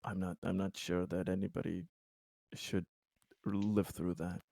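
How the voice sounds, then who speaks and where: Deep, flat, slow-speaking man. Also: vocal fry, no room sound. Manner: measured, halting. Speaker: tabletop game player. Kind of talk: in-game discussion.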